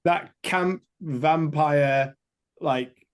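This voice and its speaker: A man in a casual film chat, excitable and rambling, full of "like". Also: on the high side, extremely slow, smooth. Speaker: podcast guest.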